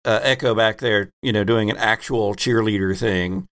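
A man acting as game master, narrating a tabletop role-playing scene in a halting, deliberate way. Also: lowish voice, steady tone, fairly bright tone, a little hiss or hum.

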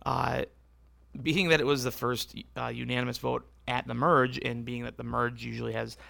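Hoarse, gravelly male podcast host. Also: medium pitch, level tone, moderate pace, bright, forceful tone, no echo. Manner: rambling, self-correcting, conversational. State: losing his voice, hoarse. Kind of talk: casual solo podcast recap.

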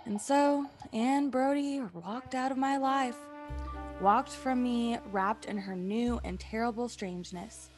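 A woman's voice: on the high side, slow pace, music in the background. An actress playing an exasperated, blunt young woman in a comedic radio drama, sardonic and bossy. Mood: grave, regretful. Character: exasperated, blunt, sarcastic young female companion.

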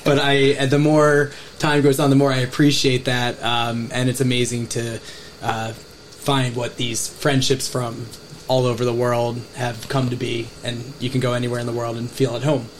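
A man talks in a friendly way as a podcast guest, pausing often on "um". His voice sits in the middle range. Faint background noise runs under the recording. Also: medium pitch. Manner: friendly, many "um"s. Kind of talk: casual chat.

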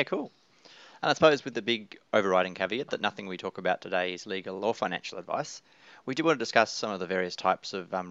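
Fast, gravelly podcast host. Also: male voice, sits low, a bit bright, no room sound, lo-fi sound. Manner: brisk, reads disclaimers. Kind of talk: podcast interview.

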